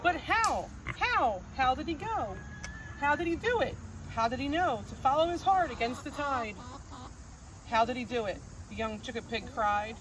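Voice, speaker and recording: A woman reading a rhyming picture book aloud in a gentle sing-song cadence, recorded outdoors on a farm. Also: high voice, big pitch swings, normal speed. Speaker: storybook reader. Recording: noisy outdoor recording with crickets.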